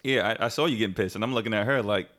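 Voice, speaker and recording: An American man from the New Jersey area, hosting a casual relationship podcast with his wife and laughing often. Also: on the low side. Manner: animated, laughs often, quick asides to the audience. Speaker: podcast host.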